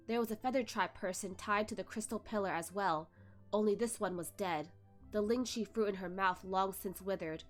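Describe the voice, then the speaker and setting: Woman narrating a novel aloud. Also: medium pitch. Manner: steady storytelling narration. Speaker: channel host reading a novel. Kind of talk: read-along narration.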